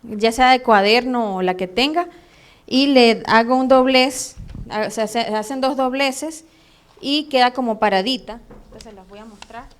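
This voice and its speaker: Venezuelan woman, fairly high voice, chatty and hesitant, lots of fillers, live class in a church room. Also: a little slow, smooth. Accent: Venezuelan Spanish. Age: adult, has a son. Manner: chatty, hesitant, many fillers and soft laughs. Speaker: church training teacher.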